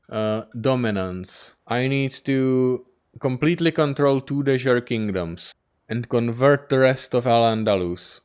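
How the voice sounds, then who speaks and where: A man narrating his strategy game playthrough, his smooth, resonant voice cutting in and out of exasperated outbursts. He swears freely as he plays, keeping up a running commentary on every setback. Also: mid-range voice, a bit bright. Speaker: gaming YouTuber.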